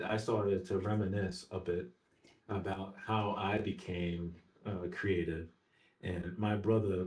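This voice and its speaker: Male podcast host from the Caribbean, deep warm voice, encouraging. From the Caribbean islands. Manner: encouraging, addresses listeners directly. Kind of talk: casual interview conversation.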